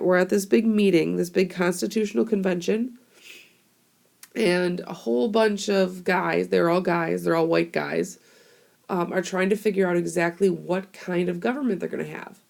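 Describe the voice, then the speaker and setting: A young woman teacher sounds hoarse from a cold, and her voice has a gravelly, crackly edge that sits a little low. She explains in a casual, chatty way, with plenty of fillers, and she records into a tablet microphone. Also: darkish tone. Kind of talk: classroom screencast lesson.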